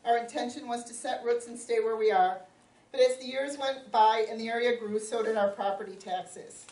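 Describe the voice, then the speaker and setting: A middle-aged woman giving a loud, plainspoken opening statement as a candidate at a community forum. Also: fairly high pitch, moderate pace. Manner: loud, plainspoken, self-joking. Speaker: township supervisor candidate. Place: community meeting room. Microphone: no microphone, picked up from a distance. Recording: roomy, distant, faint room noise.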